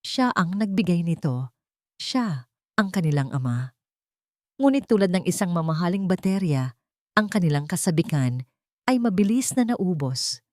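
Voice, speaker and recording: A woman's voice: lowish voice, sing-song, moderate pace, long pauses, a little mellow, dry recording, clean studio sound. A woman with a Filipino accent narrating a scripted drama story expressively.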